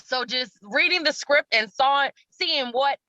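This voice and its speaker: A woman's voice: high voice, unhurried, no room sound, highs cut off. A woman chatting casually in an interview as an actress, telling stories animatedly and acting out bits of dialogue. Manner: animated storytelling, quoting dialogue. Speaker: stage actress interviewed about her role.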